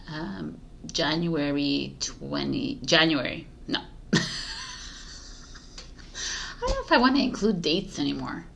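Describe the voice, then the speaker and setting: A woman's voice: low-pitched, gravelly, a little hiss or hum. A woman tarot reader chatting casually to camera, laughing at herself, restarting often and losing her voice. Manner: giggly, scattered, restarts often. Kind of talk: casual spiritual talk to camera.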